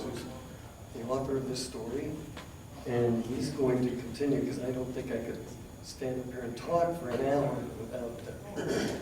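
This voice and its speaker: Sick hoarse guy saying a few words at a talk, echoey room, hissy. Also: mid-range voice. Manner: brief, apologetic remarks. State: hoarse from illness. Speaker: guest speaker handing over. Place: lecture hall. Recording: echoey hall, distant, some hiss.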